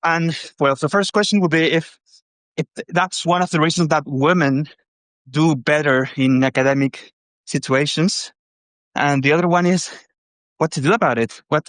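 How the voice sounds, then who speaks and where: Higher-pitched Chilean man, halting speech. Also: a bit bright, dry recording. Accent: Chilean Spanish. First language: Spanish. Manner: hesitant, halting second-language English. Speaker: caller. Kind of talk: conversational, explaining.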